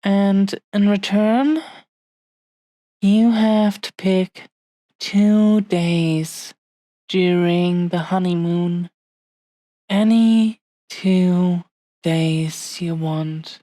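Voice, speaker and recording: A woman playing an adoring, possessive dragon-blooded fiancée in an intimate romantic roleplay audio, speaking soothingly with lingering pauses. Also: lowish voice, steady tone, mellow, no echo. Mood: coaxing, affectionate. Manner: slow, lingering, soothing, affectionate delivery with long pauses.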